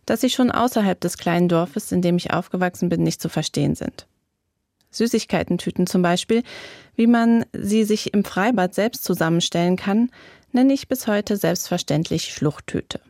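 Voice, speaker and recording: A woman reading her own radio commentary in a measured, reflective and lightly ironic way. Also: medium pitch, darkish tone, no echo. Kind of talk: scripted radio essay. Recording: dry studio recording.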